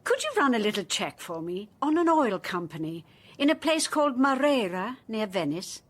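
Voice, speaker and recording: Lively, high-voiced brisk office partner. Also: female voice. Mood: brisk, polite. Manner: polite, efficient. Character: Miss Birch, brisk, courteous woman office partner. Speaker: actress. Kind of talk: radio drama acting.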